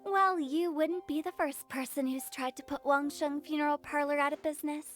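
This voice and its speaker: A teasing funeral parlor director. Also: female voice, sits high, fairly even intonation, a bit bright, soft background music. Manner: teasing, theatrical, quick-witted. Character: playful, mischievous young woman who directs a funeral parlor. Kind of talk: video game voice acting.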